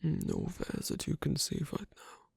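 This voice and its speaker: A performer voicing a shy, asocial werewolf on a first date in an ASMR roleplay, whispery, breathy and hesitant. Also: a little mellow, dry recording, studio quality, natural voice. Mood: hesitant, confiding. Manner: hesitant, halting.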